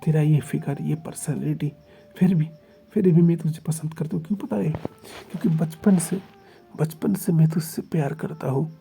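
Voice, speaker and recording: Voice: mid-range voice, normal speed, creaky, warm tone, close to an everyday voice. A storyteller voicing a young man who pleads with and then confronts his partner. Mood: hurt intense. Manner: coaxing, then hurt and intense. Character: young man, doting then possessive lover.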